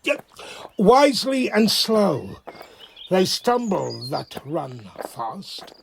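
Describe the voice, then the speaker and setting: A man playing a wise, gently chiding friar in a Shakespeare audio drama, with a husky, gravelly voice and a measured, admonishing manner. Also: sits high, very animated, a little hiss or hum, heavily acted. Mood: cautioning.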